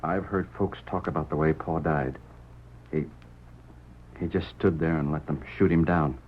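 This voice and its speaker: Radio western husband: deep, husky, drawling rancher, self-doubting and folksy, thin vintage sound. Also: male voice, fairly even intonation, slight background noise. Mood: ashamed. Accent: American Western drawl. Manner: halting, folksy, defeated. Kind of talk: old-time radio western acting. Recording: narrow tinny old radio recording.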